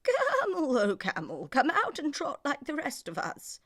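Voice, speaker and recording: A woman's voice: sits high, huge pitch swings, unhurried, a bit bright, no echo, clean studio sound, pitched above the performer's own voice, exaggerated voice. A woman voicing an earnest, indignant working horse in a children's storybook. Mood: urging.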